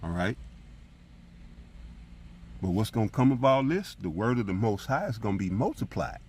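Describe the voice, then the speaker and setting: A man with a husky voice teaching scripture as a preacher, in an emphatic, colloquial style full of rhetorical check-ins. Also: on the low side, expressive, normal speed, a little mellow, slight background noise. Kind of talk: fiery informal Bible teaching with commentary on scripture readings.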